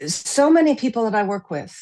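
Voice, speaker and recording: A woman's voice: fairly high pitch, sing-song, fairly quick, a little mellow. A woman on a panel giving conversational advice to musicians in an emphatic way. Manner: emphatic, uses rhetorical questions and repetition. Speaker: panelist, music consultant and bio writer.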